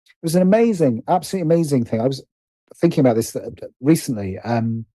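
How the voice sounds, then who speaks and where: A man with a soft, mellow voice talks as a guest in a relaxed interview. He works through his thoughts animatedly, stopping and restarting phrases. The sound is dry, with little room around it. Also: medium pitch, no echo. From Britain. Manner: animated, halting, restarts and repeats phrases as he thinks aloud. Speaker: interview guest, writer and producer. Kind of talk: casual conversational interview.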